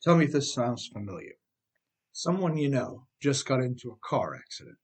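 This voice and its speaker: A middle-aged American man narrates a nonfiction audiobook as its author. His pitch sits in the middle range for a man. He reads from a script but talks straight to the listener, with emphasis and a conversational turn. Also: very animated, normal speed, husky. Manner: emphatic, addresses listener with rhetorical questions. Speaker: author reading own audiobook.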